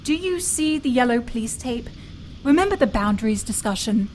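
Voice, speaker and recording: A proud, prim young British woman, acted by a woman as a detective's partner in a radio drama. Her voice is high and emphatic, with some ambient noise behind it. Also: expressive, normal speed, darkish tone, lightly acted. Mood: dry, composed. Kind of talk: audio drama acting.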